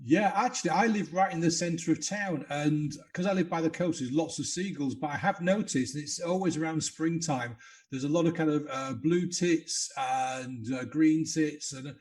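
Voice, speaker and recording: A man's voice: on the high side, moderate pace. A man hosting a podcast, joking and chatting casually with his guest. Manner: chatty, joking, relaxed questions. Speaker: podcast host, coach.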